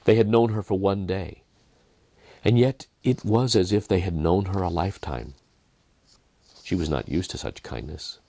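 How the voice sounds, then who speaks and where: A man reading his own Christmas story in a dramatic, expressive way, with deliberate pauses. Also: sits low, creaky, no room sound. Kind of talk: scripted Christmas story narration.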